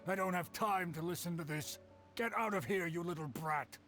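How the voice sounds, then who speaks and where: A man's voice: high-pitched, moderate pace, bright, forceful tone, soft background music. An actor playing a rude, dismissive older male official in a video game, scolding and contemptuous. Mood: scornful.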